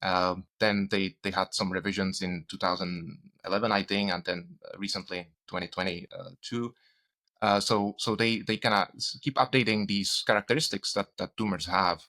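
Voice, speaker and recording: A man, a medical researcher interviewed as a guest, explaining technical ideas in a hesitant, halting way. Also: sits low, steady tone, sharp tone. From Czech Republic, grew up in Spain. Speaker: guest, doctor and cancer researcher.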